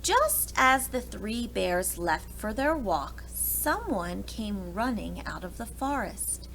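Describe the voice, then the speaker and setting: A woman reading a storybook aloud to young children in an expressive way, pausing to explain things to them. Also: mid-range voice, vocal fry, a little hiss or hum, poor audio. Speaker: preschool read-aloud teacher.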